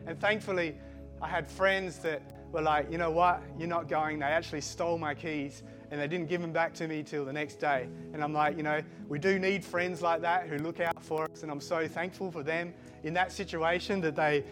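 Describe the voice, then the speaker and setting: A middle-aged man born in Switzerland and raised in Australia, with a mixed Australian accent, giving a halting personal testimony full of fillers and restarts. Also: on the high side, fairly even intonation. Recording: music playing behind the voice.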